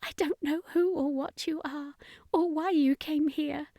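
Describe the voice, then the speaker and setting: A woman playing a tearful, breathy high-voiced mother. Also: fairly even intonation, measured pace, warm tone, no echo, studio quality. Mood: hushed gratitude. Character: grieving alien mother, tearful and thankful.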